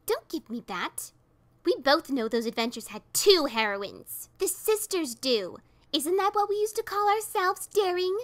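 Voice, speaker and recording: A woman's voice: fairly high pitch, takes it slowly. Woman doing a fuming grumpy pegasus mare. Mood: furious. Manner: fuming, exasperated. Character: grumpy, easily riled gray pegasus mare. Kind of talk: audiobook character reading.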